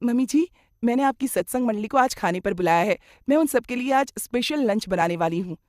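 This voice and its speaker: Woman voicing an eager, high-pitched young daughter-in-law. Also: expressive, brisk, a little mellow, dry recording, clean studio sound, lightly acted. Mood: cheerful, eager. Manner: gushing, insistent. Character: cheerful, eager young daughter-in-law, proud experimental cook. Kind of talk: Hindi moral cartoon story.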